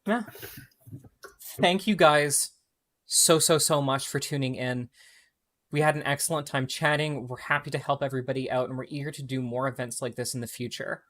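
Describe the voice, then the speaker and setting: A man's voice: medium pitch, fairly even intonation, moderate pace, fairly bright tone. A young man from Canada with a Canadian accent, hosting a casual livestream Q&A from home over a video call in an upbeat, chatty way. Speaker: host reading community questions. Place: home room with gaming chair.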